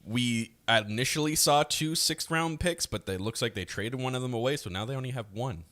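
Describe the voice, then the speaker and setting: A man's voice: medium pitch, normal speed, pushed and bright, no echo. A man chatting casually as a co-host and analyst on a hockey podcast. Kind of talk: casual sports podcast chat.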